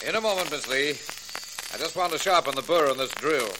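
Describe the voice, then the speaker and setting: An actor playing a harried, brusque dentist in a 1940s radio drama, with a formal, clipped way of speaking. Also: male voice, a little high, lively intonation, brisk, natural voice. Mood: brisk, businesslike. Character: harried, brusque dentist, short of money and patience. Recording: noisy, crackly old 1940s radio recording.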